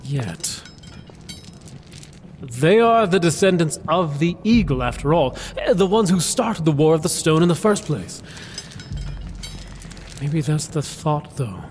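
A man's voice: fairly high pitch, expressive, slow pace, long pauses, a little mellow, a little hiss or hum. A man playing a thoughtful, musing strategist at a fantasy council in an audio drama.

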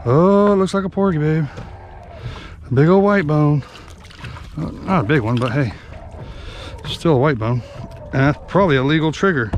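Guy narrating his fishing trip on a boat, kinda high voice, gets all hyped reeling em in, lots of boat noise. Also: moderate pace, pauses often, dull audio. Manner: excited play-by-play while reeling in fish. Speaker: fishing guide and channel host. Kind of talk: casual fishing vlog commentary. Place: open boat on the sea, outdoors. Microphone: action camera on the boat. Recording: outdoor boat noise behind the voice. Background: boat engine and water noise.

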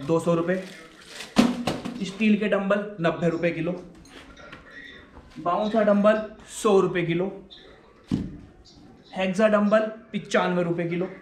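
A man in a gym equipment shop pitching his products and their prices. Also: steady tone, slow, pauses often, roomy sound, background noise. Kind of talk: sales pitch.

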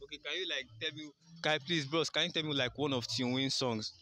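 A man's voice: on the high side, fast, sharp tone. A young man with a Nigerian accent, politely coaxing answers as a casual street interviewer. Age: young adult. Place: outdoors by a garden wall. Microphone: handheld phone as recorder.

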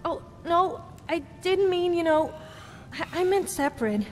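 A shy, awkward female adventuring companion from a video game, acted by a woman. Her voice is high and lilting, her delivery hesitant, with faint music underneath. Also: animated, unhurried. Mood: awkward, flustered. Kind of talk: video game character dialogue.

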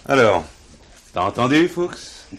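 An actor playing a blunt, dogged French police inspector in a radio play, gruff and slangy in manner. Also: male voice, medium pitch, big pitch swings, slow pace, a bit bright, a little hiss or hum. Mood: brusque.

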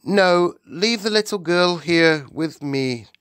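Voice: medium pitch, animated, slow pace, fairly bright tone, clear, resonant tone, no echo, pitched above the performer's own voice. A storybook reader voicing a gracious, self-composed queen with a polite, formal manner. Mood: composed, courteous.

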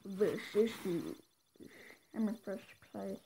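A woman chatting casually while playing a pool game, excitable and quick to squeal and cheer at shots. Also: medium pitch, creaky, a little hiss or hum. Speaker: gameplay co-player. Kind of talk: casual chat over a game.

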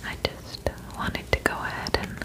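A young adult woman performing a whispered ASMR roleplay close to the mic, gentle and soothing as a friendly witch. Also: moderate pace. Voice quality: breathy, unvoiced whisper.

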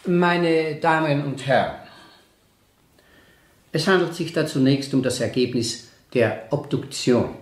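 An actor playing a formal, solemn forensic pathologist giving a briefing in a radio drama. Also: male voice, a little high, takes it slowly, pauses often. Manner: formal, clinical. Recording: roomy, reverberant hall sound.

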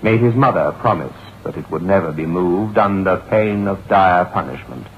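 Grave lawyer, low male voice, portentous, formal, old radio horror narration, dull sound. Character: grave male lawyer reading out a grim written account. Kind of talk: old-time radio acting, dramatic narration. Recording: dull, hissy vintage radio recording.

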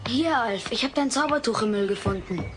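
A woman's voice: a little high, brisk, heavy background noise, natural voice. A woman playing an eager, pleading young boy in a comedy audio play.

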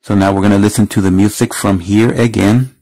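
A man with a fairly low voice talks through a casual product demo. He explains each step plainly, as a reviewer showing a gadget by hand. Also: fairly even intonation, a little fast, warm tone. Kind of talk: casual hands-on product demonstration. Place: indoors at a wooden table.

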